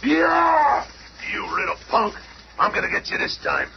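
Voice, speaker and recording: An actor playing a big, gruff, blustering rock monster hero in a 1970s radio drama. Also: male voice, very high voice, animated, measured pace, full character voice. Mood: angry. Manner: blustering, streetwise tough guy. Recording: noisy, muffled old radio recording.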